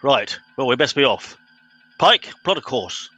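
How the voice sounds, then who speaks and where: A man's voice: mid-range voice, sing-song, unhurried, pushed and bright, slightly rough, dull audio, faint music behind, full character voice. A man playing a pompous, impatient English spaceship captain in a comic radio play. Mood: officious. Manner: pompous, officious, exasperated.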